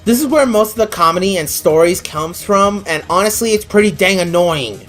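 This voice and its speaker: A woman gives a scripted review in a blunt, exasperated way, free with her swearing. Her voice sits at a middling pitch, with music playing behind it. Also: animated, fairly quick. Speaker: online anime reviewer. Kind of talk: scripted video review commentary.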